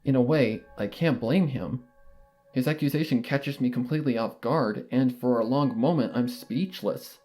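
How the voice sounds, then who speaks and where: A man narrating a first-person horror fanfiction in a measured, brooding way. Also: mid-range voice, warm tone, faint music behind.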